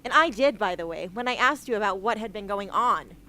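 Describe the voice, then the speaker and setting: A woman's voice: medium pitch, expressive, a little fast, pushed and bright, poor audio. A woman playing a blunt, wounded young female rebel in a radio drama. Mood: hurt, accusing. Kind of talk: amateur radio drama acting.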